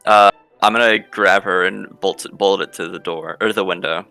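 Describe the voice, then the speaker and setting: Deep, gravelly man playing a game. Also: fairly even intonation, pushed and bright, faint music behind. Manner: hesitant, halting. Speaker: player. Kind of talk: casual tabletop game chat.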